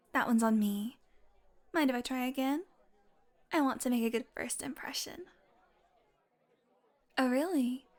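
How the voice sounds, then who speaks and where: High-voiced, sardonic vampire woman, acted out by a woman. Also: a little mellow. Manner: sarcastic, playful, casually profane. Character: sardonic, flirtatious female vampire, teasing and brash. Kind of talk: intimate audio roleplay monologue.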